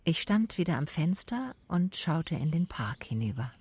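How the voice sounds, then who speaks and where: Female private eye, radio drama, low pitch, probing, measured, matter-of-fact, faint ambient noise. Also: sing-song, a little mellow. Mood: observant, matter-of-fact. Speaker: actor and narrator in a radio play. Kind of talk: first-person radio drama narration and dialogue.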